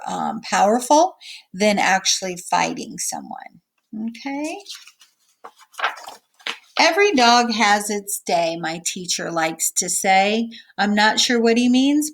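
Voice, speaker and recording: Woman reading aloud and explaining to children. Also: mid-range voice. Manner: explains simply, checking in with okay and all right. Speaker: teacher reading a picture book aloud.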